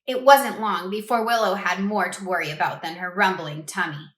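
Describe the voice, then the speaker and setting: A woman reading a picture book aloud to children in an expressive way, stopping to ask them questions. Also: on the low side, normal speed, slight background noise. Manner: expressive, asks listeners questions. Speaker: read-aloud host for children. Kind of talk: children's storybook read-aloud. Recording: roomy echo.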